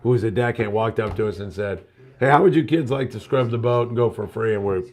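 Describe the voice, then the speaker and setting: A man's voice: on the low side, quick pace. A middle-aged man hosting a live show, telling stories in a casual, chatty way and reading out viewer questions. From United States. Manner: chatty storyteller, reads viewer questions aloud. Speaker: show host. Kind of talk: casual live-stream chat.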